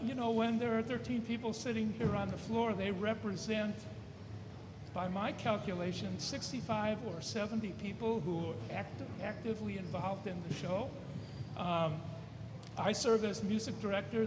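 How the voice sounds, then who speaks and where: Man, high voice, halting and rambling, informal audience announcement, echoey reverberant room, background noise. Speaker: theater music director giving an introduction. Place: large indoor room with a seated audience.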